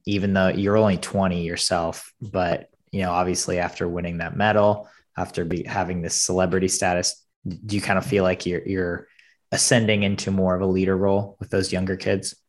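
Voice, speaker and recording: An interviewer asking casual questions and reacting with friendly enthusiasm. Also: female voice, rumbling low, flat delivery, normal speed, a little mellow.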